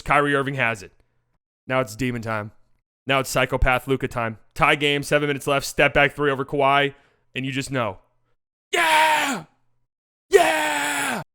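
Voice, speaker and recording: A man hosting a sports show with casual, animated, sweary fan commentary on basketball highlights. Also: clear, resonant tone.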